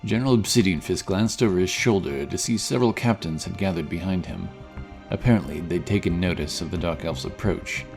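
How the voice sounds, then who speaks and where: A man's voice: deep voice, fairly quick, a little mellow, soft background music. A man giving dramatic scripted narration of a fantasy story.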